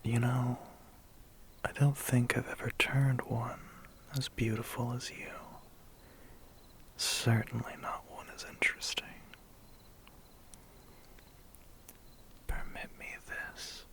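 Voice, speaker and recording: A man's voice: mid-range voice, little pitch movement, a little slow, fairly bright tone. A man playing a seductive, predatory vampire biker in an ASMR roleplay, intimate, teasing and theatrical. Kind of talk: whispered ASMR roleplay acting.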